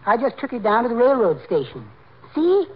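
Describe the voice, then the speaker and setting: Meek little henpecked husband, muffled old radio. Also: male voice, extremely high pitch, huge pitch swings, fairly quick, exaggerated voice. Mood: sly glee. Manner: timid, polite, giggly. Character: meek henpecked little married man, gleefully sly. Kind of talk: 1940s radio comedy acting. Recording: muffled, noisy 1940s radio recording.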